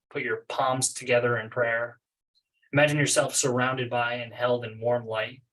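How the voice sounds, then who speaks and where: A man's voice: medium pitch, fairly bright tone. Man guiding meditation, distant from the mic. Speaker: meditation guide, coach. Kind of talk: guided meditation, read from a script.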